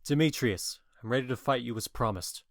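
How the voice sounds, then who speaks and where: A man's voice: medium pitch, a little fast, no room sound, studio quality, natural voice. A man playing a bewitched, cruel and impetuous young lover, scornful and vehement, in a modernized Shakespeare audio drama. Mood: ardent, defiant.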